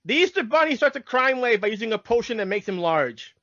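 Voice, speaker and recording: A man's voice: extremely high pitch, sing-song, fairly quick, a bit bright. A man co-hosting a podcast, explaining cartoons in casual chat, with dull lo-fi sound. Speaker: podcast co-host. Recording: dull lo-fi audio.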